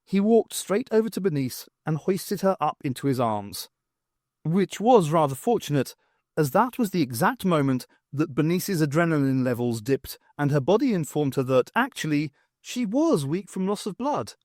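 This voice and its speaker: A man's voice: on the high side, big pitch swings, normal speed, darkish tone, dry recording, studio quality. A man narrating a fiction audiobook in a scripted storytelling style.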